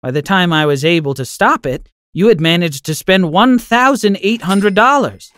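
A man voicing a kind, gentle elderly grandmother who speaks softly and soothingly in an animated story. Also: high-pitched, moderate pace, smooth, no room sound, clean studio sound, voice pushed up. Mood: reassuring. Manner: soft, soothing and forgiving.

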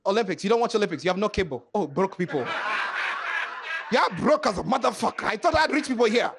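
A man from Kenya doing stand-up comedy on a club stage, loud and animated, joking with the crowd. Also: sits high, fast, vocal fry, noisy background.

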